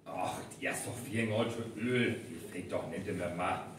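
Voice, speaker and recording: A man's voice: deep voice, normal speed, natural voice. An actor with a North Frisian accent playing a plain-spoken village man in a live folk-tale radio play. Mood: grumbling urging. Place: event venue, live stage recording. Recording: very echoey hall, distant, faint noise.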